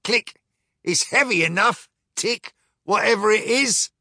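A man's voice: high-pitched, unhurried, pushed and bright, dry recording, studio quality, pitched above the performer's own voice, full character voice. A man voicing a devious clockwork robot pirate first mate who clicks and ticks as he talks, in a storybook reading. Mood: gruff orders. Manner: clipped, punctuated by click and tick sounds.

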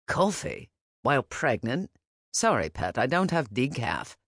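A woman voicing a gentle, protective older man who speaks softly and calmly, with a firm edge. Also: medium pitch, unhurried, no room sound, clean studio sound, pitched below the performer's own voice. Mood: gentle, fatherly. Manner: soft, calm and fatherly.

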